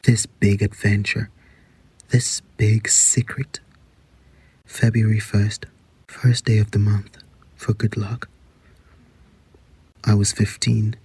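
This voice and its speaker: A man's voice: lowish voice, flat delivery, takes it slowly, long pauses, darkish tone, slightly rough, dry recording, exaggerated voice, rough recording. An actor playing a man looking back on a secret teenage adventure in a reflective audio drama monologue. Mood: reflective, hushed.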